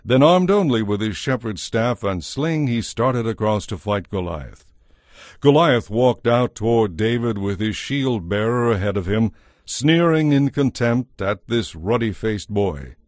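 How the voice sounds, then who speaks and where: A man narrating a dramatized scripture story in a measured storytelling manner. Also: on the low side, lively intonation, darkish tone, no echo, clean studio sound.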